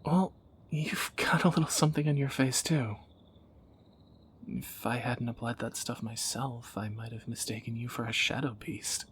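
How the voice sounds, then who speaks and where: A man's voice: mid-range voice, normal speed, a bit bright, a little hiss or hum, natural voice. A man playing a tender, wry young thief in a romantic audio roleplay, teasing and intimate, often dropping toward a whisper. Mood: teasing, then uneasy. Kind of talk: romantic audio roleplay, one side of a dialogue.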